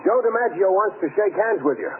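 Mysterious kindly stranger, expressive, muffled radio. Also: male voice, high voice, fast talker, noisy background. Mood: coaxing. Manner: plain-spoken, quietly assured. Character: mysterious kindly male stranger, gently probing and persuasive. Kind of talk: 1940s radio drama acting. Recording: muffled old radio broadcast, music behind.

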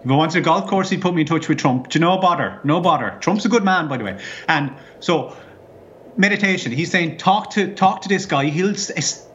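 A man's voice: a little high, a little fast, fairly bright tone, dull audio, close to an everyday voice. A man playing a crude, boozy rural Irish caller from Offaly who swears heavily and rambles. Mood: rambling.

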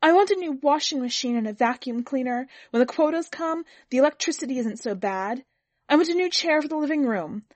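A woman's voice: high voice, sing-song, moderate pace, warm tone, no room sound, voice pushed up. A woman reading the part of a put-upon young wife in a story, earnest and pleading under strain. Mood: upset, angry. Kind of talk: dramatic audiobook character reading.

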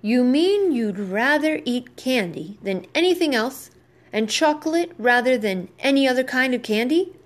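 A woman's voice: high-pitched, expressive, normal speed, voice pushed up. A woman voicing an exasperated father in a children's story, reasoning insistently with his son. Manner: insistent, questioning, persistent.

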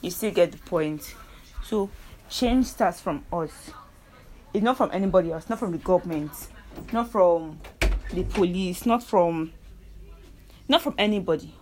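A woman's voice: on the low side, darkish tone, slight background noise, low-quality recording. A young woman with a Nigerian accent, hosting a casual solo podcast and talking earnestly, rambling and correcting herself as she argues her point. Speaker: podcast host.

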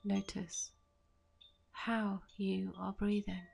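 A woman guides a meditation very slowly, leaving long, gentle pauses. Her delivery is even and soothing, with little melodic movement and soft, breathy phrase endings that settle into a creaky fry. Faint music with chimes sits behind her. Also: mid-range voice.

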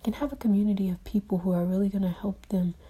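Softly whispering woman at prayer. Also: mid-range voice, fairly even intonation, fast, slightly rough. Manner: soft, hushed, half-whispered talk; earnest, rambling, with many fillers. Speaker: video diarist. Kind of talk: personal diary and spoken prayer.

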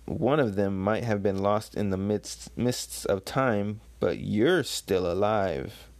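A man's voice: fairly low pitch, slow pace, a little mellow, no echo. Man doing a domineering old pirate woman. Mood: coaxing. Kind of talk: comic book read aloud in character.

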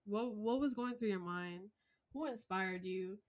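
A woman's voice: mid-range voice, unhurried. A woman podcast host asking questions in an upbeat, enthusiastic way, slipping in Spanish phrases. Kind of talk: casual podcast interview.

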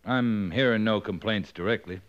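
A man's voice: on the low side, moderate pace, clean tone, natural voice. A man playing a dutiful American cavalry captain in a 1950s radio western, terse, understated and dry. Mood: dryly concerned. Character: laconic, dutiful cavalry captain who stands up for his men. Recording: muffled old 1950s radio recording.

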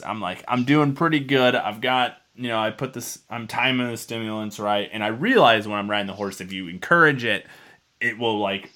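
A man's voice: fairly low pitch, bright, forceful tone. A man telling a story casually as a podcast co-host, rambling and swearing freely.

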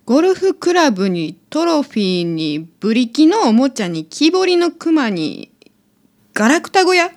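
A woman's voice: sits high, big pitch swings, a little slow, full character voice. A woman playing a blunt, inquisitive apartment tenant in a radio drama, with a Kansai accent. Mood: curious. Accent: Kansai Japanese.

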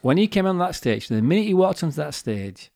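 A man's voice: fairly high pitch, big pitch swings, brisk, gravelly, a little mellow, dry recording, clean studio sound. A man co-hosting a podcast, explaining his views in a fluent, chatty way with acted-out quotes. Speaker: podcast co-host. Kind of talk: casual chat. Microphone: desk microphone.

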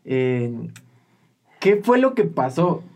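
A young man in his twenties with a Guatemalan accent hosts an informal podcast conversation. His voice sits fairly high for a man and moves around a lot in pitch. His way of talking is casual and jokey. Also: sing-song, slow pace, a little mellow. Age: 20s. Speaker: podcast host. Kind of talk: casual chat.

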